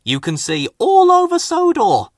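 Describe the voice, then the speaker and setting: A man's voice: extremely high pitch, wildly swooping pitch, a little slow, no room sound, studio quality, voice pushed up, exaggerated voice. A man voicing a dutiful engine driver who announces and explains like a guide in a children's storybook. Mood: announcing.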